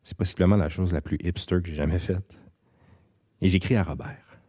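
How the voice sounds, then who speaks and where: Male narrator, Quebec French accent, deep mellow voice, dry understated storytelling. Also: fairly even intonation, normal speed, husky. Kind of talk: scripted first-person narration. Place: recording studio. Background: faint music.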